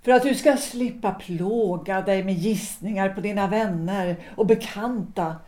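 An actress playing a cool, resolute, disillusioned wife in a period audio drama, speaking deliberately and with reproach. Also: female voice, medium pitch, darkish tone, lightly acted. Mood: determined. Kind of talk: period stage-play audio drama acting.

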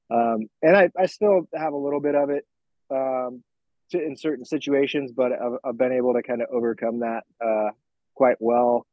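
A man's voice: mid-range voice, dry recording. Dull-sounding American man, forties, talking candidly. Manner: reflective, candid, halting as he picks words. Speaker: fitness coach, podcast guest. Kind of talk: informal interview, personal storytelling.

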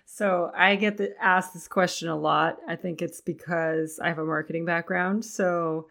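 A woman with a low-pitched voice, a touch raspy, hosts her own podcast alone. She talks in a loose, chatty way and laughs lightly between phrases. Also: steady tone, moderate pace, a bit bright. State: slightly raspy voice. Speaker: podcast host. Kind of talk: casual solo podcast talk.

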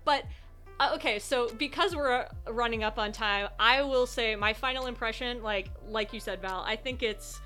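A woman with a bright, fairly high voice chats about films on a podcast over faint music. Her delivery swings up and down, loose and sweary. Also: moderate pace. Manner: animated, sweary. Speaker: podcast panelist. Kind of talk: casual film review chat.

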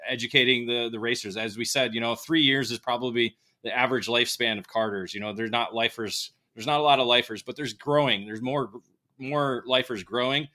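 Brief: co-host man, bright mid voice, keen and repetitive. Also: medium pitch, fairly even intonation, a little fast. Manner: enthusiastic, repetitive restarts.

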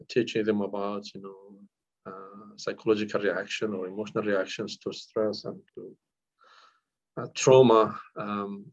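Man, middle-aged, low-pitched, halting with fillers, muffled lo-fi recording. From northern Iraq. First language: Kurdish. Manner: hesitant, many fillers and restarts. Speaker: counsellor. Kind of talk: podcast interview. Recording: dull lo-fi audio.

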